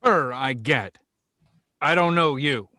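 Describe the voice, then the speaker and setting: A man's voice: on the high side, slow, sharp tone, no echo, clean studio sound. A man playing a smug, taunting villain in a screenplay table read. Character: smug, menacing male villain, taunting and controlling.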